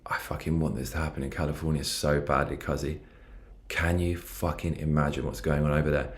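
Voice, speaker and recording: A man's voice: a bass voice, level tone, fairly quick. A man in his forties with a London accent, hosting a rambling, profane political livestream and reading out chat comments. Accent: London English. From London, England. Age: 40s.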